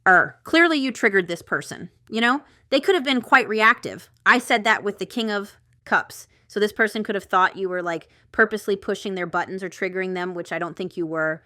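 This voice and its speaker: Chatty lady doing tarot, bright crisp tone, really dry close sound, rambles off on asides. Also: mid-range voice, brisk, hardly any pauses, no echo. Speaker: tarot reader and channel host. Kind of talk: casual intuitive tarot reading, talking to the viewer.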